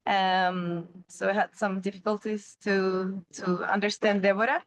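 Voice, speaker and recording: A young woman from Chile with a Chilean Spanish accent and Spanish as her first language, asking a question on a video call, pausing often to find her words. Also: medium pitch, flat delivery, low-quality recording. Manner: halting, searching for words. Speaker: workshop participant. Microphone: laptop or phone on a video call.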